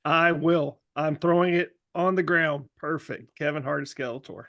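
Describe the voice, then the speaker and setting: A man with a creaky, gravelly voice, set a little high, chats casually as a podcast co-host. The recording is dry. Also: fairly even intonation, moderate pace, a bit bright, no echo. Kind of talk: casual chat.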